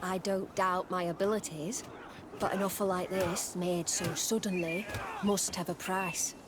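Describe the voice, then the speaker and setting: A woman's voice: lowish voice, fairly even intonation, unhurried, lightly acted. An actress playing a disciplined female military instructor in a video game, formal and respectful. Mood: composed. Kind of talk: video game cutscene acting. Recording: outdoor ambient noise throughout.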